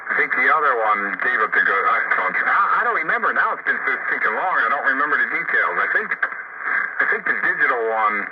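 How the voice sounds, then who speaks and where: A man's voice: mid-range voice, very animated, a little fast. A man in casual radio conversation, rambling in a plain-spoken way as an amateur operator. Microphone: radio transceiver. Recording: noisy, muffled two-way radio transmission.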